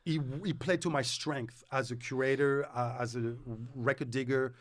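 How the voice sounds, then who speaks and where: A middle-aged man with a French accent, a DJ talking as a guest on a casual podcast, animated and restarting his words as he tells stories. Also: medium pitch, moderate pace. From France.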